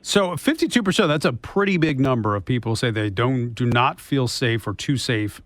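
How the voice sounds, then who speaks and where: A man's voice: medium pitch, expressive, moderate pace, no room sound. A man hosting a radio show, interviewing in a conversational news-talk style.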